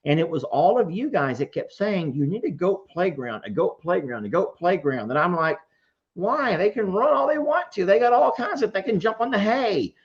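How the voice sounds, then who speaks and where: Folksy, animated farm show host. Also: medium pitch, moderate pace. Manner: folksy, informal. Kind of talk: casual live chat with callers. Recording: dull, lo-fi sound.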